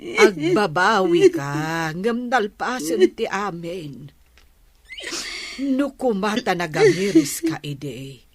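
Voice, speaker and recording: A woman with a Filipino accent acting a husky-voiced, sorrowful, resigned mother in a radio drama. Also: medium pitch, wildly swooping pitch, slow pace, exaggerated voice. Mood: sad, reproachful.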